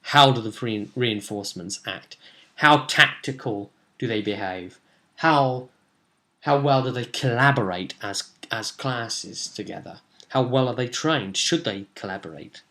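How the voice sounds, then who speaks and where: A man, a game master telling the story of a role-play session in a casual, animated way. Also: medium pitch, unhurried. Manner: animated storytelling, acting out players' reactions. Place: small room at home, indoors.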